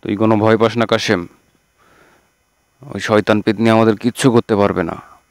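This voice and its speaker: A man's voice: fairly low pitch, fairly even intonation, normal speed, clear, resonant tone, no echo, natural voice. A man playing an emphatic, anxious yet defiant village friend in a children's fairy-tale cartoon.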